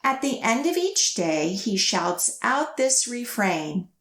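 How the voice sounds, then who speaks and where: A woman's voice: on the high side, sing-song, slow pace, fairly bright tone, reverberant. A woman hosting storytime for young children, reading picture books expressively and giving encouraging, simple instructions. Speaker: children's librarian, storytime host.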